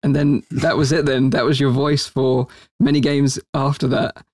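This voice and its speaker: A male podcast host in casual interview chat, enthusiastic and quick to laugh. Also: mid-range voice, level tone, darkish tone, dry recording, studio quality.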